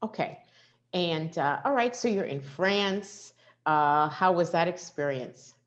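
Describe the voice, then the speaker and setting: A woman from the United States hosting a relaxed interview, her voice sitting quite low. The sound is dull and lo-fi, as she chats easily and asks open questions. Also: slow. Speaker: interview host. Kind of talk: casual interview.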